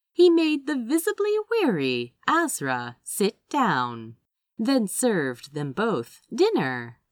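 A woman narrates fiction in a slightly low voice. Her pace is slow and lingering, with dramatic, widely swooping intonation. The sound is dry and intimate, suited to an audiobook. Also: no room sound. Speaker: audiobook reader. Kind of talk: expressive scripted fiction narration.

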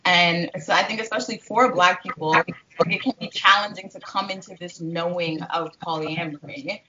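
Brief: thirties woman, frank co-host, lowish voice, muffled lo-fi audio. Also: moderate pace, sharp tone, a little hiss or hum. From Jamaica. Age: 30s. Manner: frank, filler-heavy, swearing. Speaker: podcast co-host. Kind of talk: casual chat.

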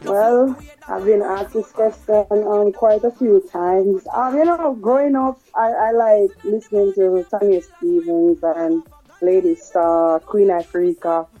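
A woman with a deep voice, a dancehall artist being interviewed. She gives brief, laid-back answers. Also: fairly even intonation, a little slow, narrow, tinny audio, faint music behind.

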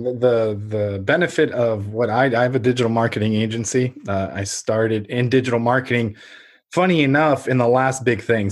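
A man in his thirties, a podcast guest, talking casually in an articulate, steady, explanatory way. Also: mid-range voice. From Ukraine, raised in the United States from age five. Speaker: podcast guest, marketing agency owner.

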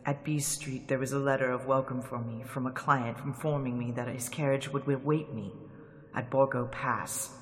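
A man's voice: medium pitch, normal speed, in a large hall, lightly acted. A man playing a young clerk narrating his journal. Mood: uneasy. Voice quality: steady narration that turns to alarmed shouting. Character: young English law clerk traveling, anxious narrator. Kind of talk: 1930s radio acting, journal narration.

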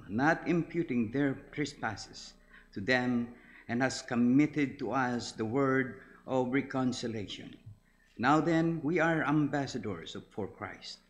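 A man leading the congregation and reading scripture aloud in a measured way. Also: medium pitch. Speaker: scripture reader.

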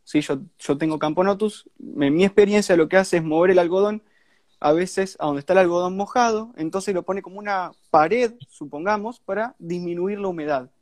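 A man's voice: high voice, normal speed, darkish tone, no room sound. A seventeen-year-old young man from Argentina with an Argentine accent, casually explaining his hobby as a guest on a live chat. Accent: Argentine Spanish. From Santa Fe, Argentina. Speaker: guest hobbyist ant keeper.

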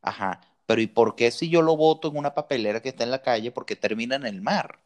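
A man's voice: medium pitch, quick pace. Emphatic Venezuelan man chatting. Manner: emphatic, repeats words and rhetorical questions. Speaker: podcast co-host. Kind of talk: casual opinionated podcast chat.

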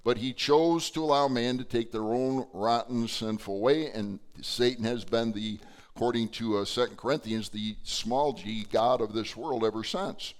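A man preaching a sermon to his congregation, his voice slightly hoarse, talking in a conversational way with frequent fillers. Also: medium pitch, moderate pace. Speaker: pastor. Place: church sanctuary.

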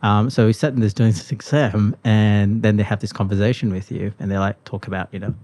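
A man's voice: lowish voice, brisk, dry recording. Malaysian-raised man telling stories. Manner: relaxed storytelling with many fillers. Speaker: guest. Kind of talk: casual conversation.

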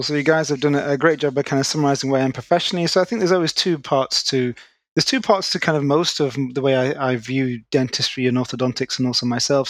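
A man, a guest orthodontist explaining his field in a podcast interview, articulate and quick, with restarts. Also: medium pitch, no echo. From Manchester, England. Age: 30s.

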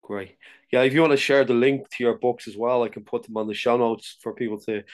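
Halting man hosting a podcast interview. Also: medium pitch, fairly even intonation. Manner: halting, rambling questions with frequent restarts. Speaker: podcast host. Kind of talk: casual interview chat.

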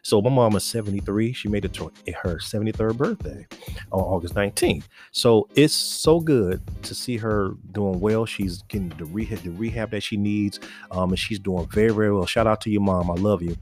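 A man's voice: fairly low pitch, normal speed, a little mellow, soft background music. A man in his forties preaching casually to other men, earnest and exhorting, often restarting and repeating words. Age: 40s. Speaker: faith podcast host.

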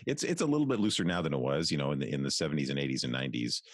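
A Canadian man who works as a voice actor, talking casually in an interview with a deep, resonant voice. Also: rapid-fire, no room sound, clean studio sound. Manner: relaxed, chatty, with frequent restarts. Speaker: voice actor guest.

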